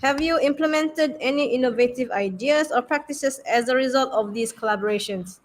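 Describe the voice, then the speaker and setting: A woman's voice: high-pitched, a little fast. A woman hosting an interview, asking questions and sharing her thoughts in a conversational way with some fillers. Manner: conversational, thinks aloud with fillers. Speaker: interview host, teacher. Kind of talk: casual interview chat.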